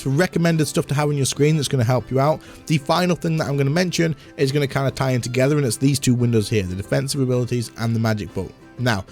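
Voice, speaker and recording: A man with a slightly hoarse voice, giving a casual game tutorial and chatting as he demonstrates on screen. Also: medium pitch, darkish tone. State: slightly hoarse, losing his voice. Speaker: gaming content creator.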